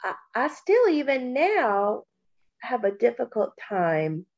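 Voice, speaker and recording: Slow-speaking woman with a stuffy nose. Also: fairly high pitch, very animated, dull audio. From Kentucky. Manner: slow, warm, reflective, with many pauses and fillers. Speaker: podcast co-host. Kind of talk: casual podcast chat.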